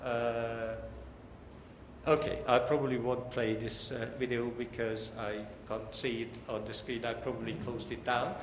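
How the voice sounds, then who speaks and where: Older man, academic lecturer, halting deliberate phrasing, reverberant hall, some background noise. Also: mid-range voice, flat delivery.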